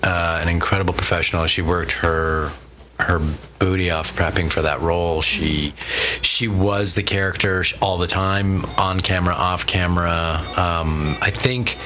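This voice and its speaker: A man's voice: deep voice, fairly even intonation, normal speed, pushed and bright. A man being interviewed as a guest actor on a live radio show, talking in an earnest, admiring way as he tells stories.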